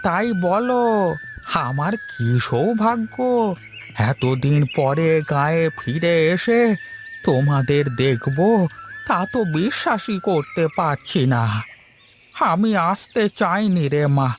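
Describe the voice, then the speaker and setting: A man plays a gentle old villager in a moral-story cartoon. The voice is high and slow, with a plaintive, affectionate elder's manner. Soft music sits behind it. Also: expressive. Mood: wistful, resolute. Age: older.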